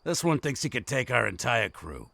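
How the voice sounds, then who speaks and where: A man's voice: mid-range voice, very animated, moderate pace, gravelly, bright, forceful tone, dry recording, full character voice. A man playing a scheming, theatrical bandit ringleader in a fantasy visual novel. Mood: menacing, smug. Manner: theatrical, pleading then threatening. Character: scheming bandit ringleader posing as frantic merchant.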